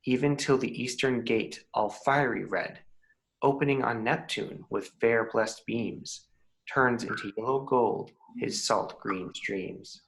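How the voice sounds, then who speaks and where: A man playing a commanding, scheming fairy king, reading Shakespearean verse in a measured, declamatory way. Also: mid-range voice, creaky, a bit bright, low-quality recording. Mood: proud, urgent. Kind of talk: Shakespeare table read in verse.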